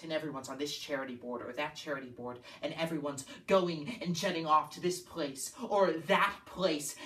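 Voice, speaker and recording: A man playing an embittered, scornful painter in a deliberate, intense theatrical monologue. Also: high-pitched. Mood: rising fury. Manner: deliberate, swelling from hushed to snarling. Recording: echoey room, distant mic.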